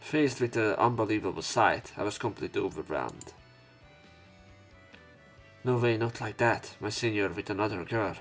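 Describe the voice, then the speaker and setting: A man's voice: lowish voice, normal speed, long pauses. A man hosting a let's play, reading a game's narration aloud and commenting as he goes. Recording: game music behind the voice. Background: video game music.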